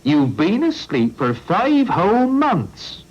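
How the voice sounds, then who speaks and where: A man's voice: high-pitched, huge pitch swings, slow pace, pitched above the performer's own voice, heavily acted. A man voicing a kindly, shrewd older farmer with a hearty, fatherly manner, on a lo-fi storybook recording. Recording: lo-fi old recording.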